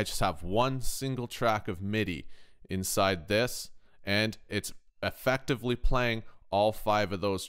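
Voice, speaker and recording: A man hosting a casual software tutorial from a kitchen, chatty and informal, often checking in with questions. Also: fairly low pitch, smooth. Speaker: tutorial host, touring guitar tech.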